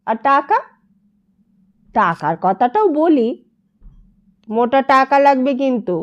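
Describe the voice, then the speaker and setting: A woman acts out a grasping older auntie in an audiobook story, wheedling and complaining with familiar ease. The voice is high and unhurried, in a slightly dull recording. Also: animated. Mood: shrewd. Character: shrewd, grasping older woman haggling over a fee.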